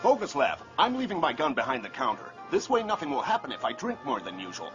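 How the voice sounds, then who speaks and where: A man's voice: medium pitch, wildly swooping pitch, fast talker. A man voicing a casual, easygoing adult in a cartoon. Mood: casual, instructing. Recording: dull muffled sound, loud music behind.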